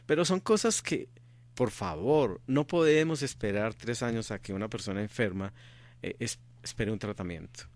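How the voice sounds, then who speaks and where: A man's voice: lowish voice, animated, moderate pace. A middle-aged man from Colombia, answering interview questions earnestly and repeating his key points for emphasis. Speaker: interview guest, activist father.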